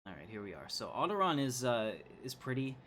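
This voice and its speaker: A man's voice: mid-range voice, big pitch swings, brisk, pushed and bright. A man streaming a video game with casual, joking, rambling commentary.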